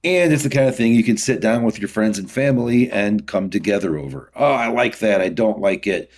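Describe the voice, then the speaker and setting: A man's voice: fairly low pitch, brisk. A middle-aged man talking casually as an interview guest, explaining things in a rambling, easygoing way. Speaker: podcast guest, whiskey distiller.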